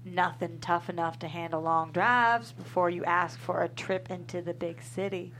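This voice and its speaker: A woman's voice: on the low side, fairly even intonation, normal speed, fairly bright tone, slight background noise. A woman playing a tough, guarded small-town cowgirl at a script table read, blunt and folksy.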